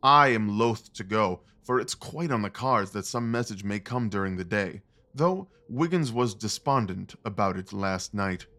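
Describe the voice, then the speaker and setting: A man acting a restless, commanding gentleman detective in an audio drama, speaking formally and with intense emphasis. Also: lowish voice, lively intonation, unhurried, few pauses, exaggerated voice. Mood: resolute, brisk.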